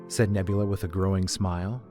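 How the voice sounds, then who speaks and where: A man's voice: low-pitched, fairly even intonation, a little fast. A man narrating a scripted story as a reader, setting the scene between characters' dialogue. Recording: music under the voice.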